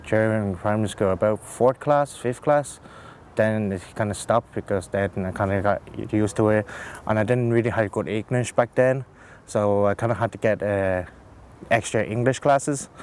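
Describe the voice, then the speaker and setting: A teenage boy, speaking casually in an interview with a relaxed, hesitant manner. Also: fairly low pitch, slight background noise. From Ireland. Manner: relaxed, hesitant, many fillers.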